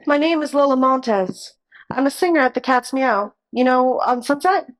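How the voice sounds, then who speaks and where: An actress playing a nervous, pleading nightclub singer with a hesitant manner, in a 1940s radio detective parody. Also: female voice, sits high, normal speed, lightly acted, low-quality recording. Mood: earnest. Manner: hesitant, imploring. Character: nervous, pleading female nightclub singer, a femme fatale client.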